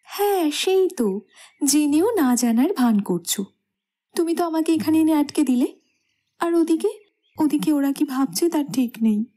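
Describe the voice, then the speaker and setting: A young woman playing a shy, anxious bride who protests gently and hesitantly in a romantic audio story. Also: high-pitched, animated, a little slow, long pauses. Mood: flustered, worried. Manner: coy, hesitant, softly pouting.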